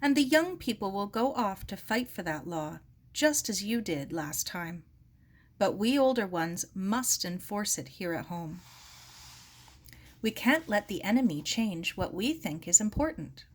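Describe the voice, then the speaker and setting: A woman voicing a firm, compassionate middle-aged aunt in an earnest, measured way. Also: medium pitch, very animated.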